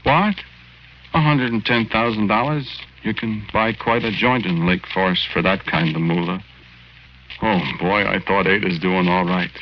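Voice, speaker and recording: A man's voice: on the low side, moderate pace, lightly acted. A man acting in a 1940s radio drama as a good-hearted, sentimental ghost, telling his story in folksy, ungrammatical slang. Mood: folksy, eager. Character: good-hearted lovelorn working-class man's ghost, sentimental and naive. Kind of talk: 1940s radio acting, first-person narration. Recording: lo-fi 1940s radio recording, loud music.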